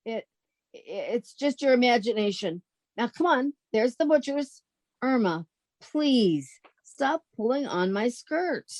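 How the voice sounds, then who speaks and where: Woman acting exasperated sensible young roommate, fairly high voice, wisecracking, old-time radio comedy. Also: lively intonation, slow pace, a little mellow. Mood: flustered.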